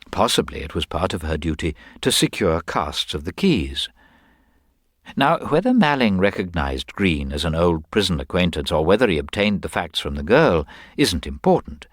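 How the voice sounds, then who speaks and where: A man playing a mild, shrewd government investigator in a detective story, speaking politely and deliberately with small murmured hesitations. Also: sits low, no echo, studio quality. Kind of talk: dramatised audiobook detective story.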